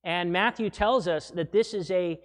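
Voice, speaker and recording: A man in his thirties, a pastor preaching a sermon from the pulpit mic, animated and wry, with small restarts. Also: high voice, normal speed. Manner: animated, gesturing while preaching. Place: church sanctuary, indoors. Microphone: gooseneck pulpit mic. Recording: long church reverb.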